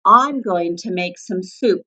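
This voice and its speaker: A woman voicing a scruffy, bad-tempered male varmint from a storybook, gruff, snarling and curt. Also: lowish voice, takes it slowly, pushed and bright, exaggerated voice. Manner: snarling, curt, barking orders.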